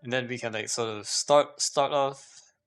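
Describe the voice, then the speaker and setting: A man's voice: mid-range voice, fairly even intonation, measured pace, fairly bright tone. A man chatting casually on a livestream, thinking aloud in a hesitant, rambling way and often repeating himself. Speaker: live streamer.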